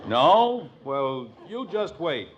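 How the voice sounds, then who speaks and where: Wry, grumbling old-time radio sitcom dad. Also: male voice, a little high, sing-song, drawn-out words, heavy background noise, heavily acted. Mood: groaning, grumbling. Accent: American. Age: middle-aged. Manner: dry, exaggerated complaining. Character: exhausted middle-aged suburban father, proud, grumbling, wry. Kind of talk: 1950s radio sitcom acting. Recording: dull old radio recording, loud audience.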